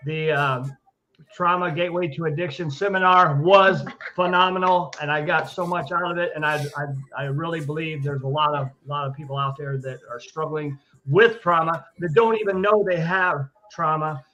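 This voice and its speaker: A man's voice: on the high side, steady tone, normal speed, bright, forceful tone, poor audio. A man hosting a live online show who introduces his guest hesitantly, with many restarts. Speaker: show host.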